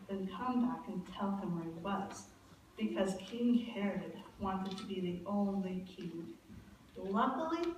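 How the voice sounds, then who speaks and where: A woman acts as a sincere little girl puppet telling things earnestly. Her voice sits at a medium pitch. It is recorded from a distance in a very echoey, noisy hall. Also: close to an everyday voice. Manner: earnest, explanatory storytelling. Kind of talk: church puppet show acting.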